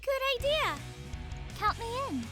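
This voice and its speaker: Squeaky, very high anime girl. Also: very animated, unhurried, heavily acted. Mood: eager, sweet. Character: sweet, excitable anime teenage girl. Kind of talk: visual novel game acting. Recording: loud game music behind.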